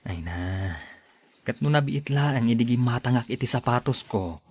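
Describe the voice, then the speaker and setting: A man's voice: mid-range voice, expressive, moderate pace, a little mellow, husky. A man acting a devoted, generous young husband, eager to please, in an Ilocano-accented radio drama. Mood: affectionate.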